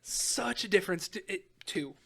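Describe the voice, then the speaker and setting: A woman's voice: mid-range voice, animated, normal speed, creaky, sharp tone, husky. A young woman co-hosting a podcast in casual chat, giggly, often second-guessing herself as she talks. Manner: giggly, self-questioning banter. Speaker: podcast co-host.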